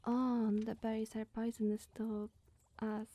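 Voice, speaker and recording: A woman plays a sweet young witch in an ASMR roleplay, bashful and scatterbrained. Her voice is fairly high yet mellow and breathy, often sinking into a whisper. She rambles slowly and coyly, with little musing hums. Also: flat delivery. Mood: friendly, chatty. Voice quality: soft, breathy.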